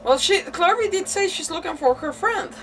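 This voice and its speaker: A woman streaming a game and chatting casually, rambling and halting as she repeats her words. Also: very high-pitched, lively intonation. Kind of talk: casual chat, reacting to a game. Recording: distant mic, game music behind. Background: game music.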